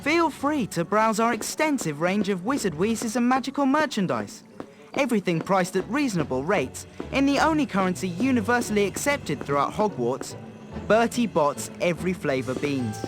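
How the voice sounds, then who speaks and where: A mischievous teenage boy peddling his wares in a fantasy game. His voice is high and very animated, full of playful patter. Loud music sits behind him. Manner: showman's patter, playful and teasing. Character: cheeky, salesman-like teenage boy joke-shop trickster. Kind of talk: video game cutscene voice acting.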